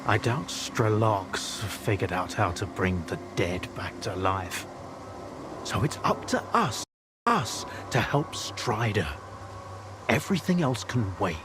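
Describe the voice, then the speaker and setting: Over noticeable background noise, a principled game doctor. He speaks slowly and deliberately in a lowish, calm voice with solemn weight. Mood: measured. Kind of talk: dramatic video game dialogue.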